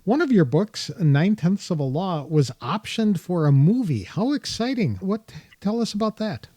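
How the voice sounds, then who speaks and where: A woman's voice: lowish voice, big pitch swings, normal speed, dark tone, dry recording. A woman with a Wisconsin accent hosting an interview, giving encouraging short replies and questions. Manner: encouraging, frequent short backchannels. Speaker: podcast host.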